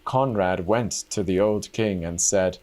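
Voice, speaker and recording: A man's voice: deep voice, a little slow, darkish tone. A man reading a fairy tale aloud as a storyteller in a dramatic style.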